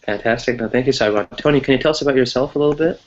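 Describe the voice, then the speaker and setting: A man's voice: mid-range voice, very fast, highs cut off, slight background noise. An American man teaching an online English class, explaining conversationally and encouragingly, often checking in as he goes. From United States.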